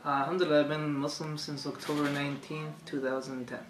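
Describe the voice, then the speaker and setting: Soft-spoken young man in an echoey room. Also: fairly high pitch, flat delivery, fast talker. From Chile. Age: young adult. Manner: soft-spoken, hesitant, many fillers. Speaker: interview guest. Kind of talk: conversational testimony. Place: room with bookshelves, indoors. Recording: echoey room, faint hiss.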